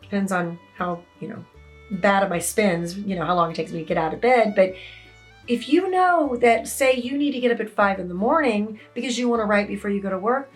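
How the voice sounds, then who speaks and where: A woman with a naturally raspy voice, a bit hoarse from yelling, giving casual, chatty writing advice as an author in her home office. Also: medium pitch, a little fast, soft background music. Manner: chatty, conversational, lots of "you know". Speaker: indie romance author. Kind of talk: casual talking-head advice vlog. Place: home office with open windows.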